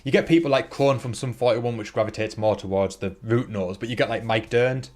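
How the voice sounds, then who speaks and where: A man's voice: mid-range voice, fairly quick, a little mellow. A man co-hosting a casual podcast, rambling and filling his talk with "like" and "sort of". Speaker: podcast co-host. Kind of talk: casual chat.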